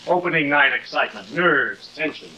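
A man's voice: a little high, expressive, normal speed, sharp tone, low-quality recording. A man playing a worried, businesslike middle-aged associate in an old television drama. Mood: concerned, insistent. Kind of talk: 1950s television drama acting. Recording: hissy old television soundtrack.

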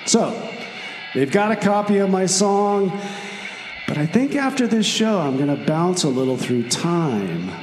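A man's voice: high voice, big pitch swings, unhurried. Man playing a breezy time traveler, echoing heavily. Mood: excited, breezy. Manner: upbeat, self-assured, chatty. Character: breezy, cocky male time traveler and would-be musician. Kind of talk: comedy radio theater monologue. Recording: echoey, long reverb. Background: music.

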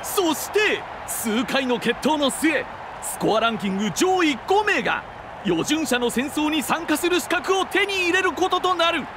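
Very high-pitched, excited arena announcer. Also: male voice, a little slow, noisy background, exaggerated voice. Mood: emphatic explaining. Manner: emphatic, projected, formal. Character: excited male tournament announcer, bold and theatrical. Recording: game cutscene under loud music.

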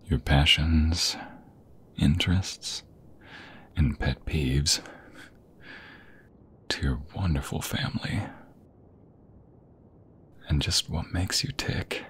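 A man playing an affectionate, flirty husband in an intimate ASMR roleplay, with a breathy, husky, gravelly voice that often drops to a half-whisper. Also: a bass voice, slow pace, long pauses, fairly bright tone, slight background noise, full character voice. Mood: adoring.

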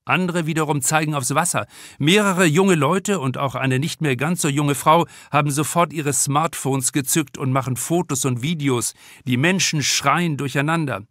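Bright-voiced male audiobook narrator, dry sound. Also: a little high, moderate pace, dry recording. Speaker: author reading his audiobook. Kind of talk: scripted narration of a comic crime novel. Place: studio.

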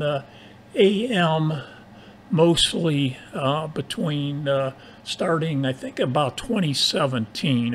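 A man in his sixties with an American accent chatting casually as a radio operator, in halting, measured phrases over a noisy recording. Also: a little high. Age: 60s. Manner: halting, measured delivery with spelled-out call signs. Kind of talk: casual ham radio chat.

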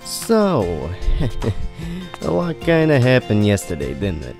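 A man's voice: medium pitch, huge pitch swings, slow pace, gravelly, exaggerated voice. A man playing a cocky but grudgingly friendly teen bully in a casual comic dub. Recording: loud guitar music behind.

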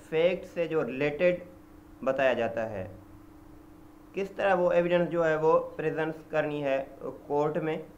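A young man with a Pakistani accent gives an informal lecture, halting and explanatory, restating points simply and mixing in English terms. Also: on the high side. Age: young adult. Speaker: law lecturer. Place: small indoor office. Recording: dull lo-fi audio with background noise.

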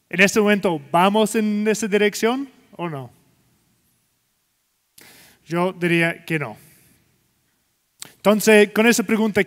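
Scottish guy speaking spanish, pretty high voice, lots of "ah"s, big hall echo. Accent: Scottish, speaking Spanish learned in Chile. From Scotland. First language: English. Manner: hesitant, many "ah" fillers and restarts. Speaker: keynote speaker. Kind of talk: conference keynote talk. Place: large conference stage with a long reverb.

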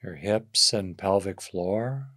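Slow, low-voiced man guiding meditation. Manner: gentle instructional phrasing with long pauses. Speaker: meditation teacher. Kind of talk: guided meditation.

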